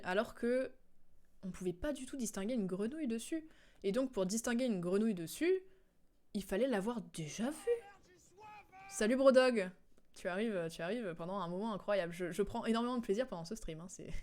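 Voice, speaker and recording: A woman with a medium-pitched voice comments on a game for her stream. She is chatty and informal, piecing the story together as she goes and often halting to remember. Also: a little mellow.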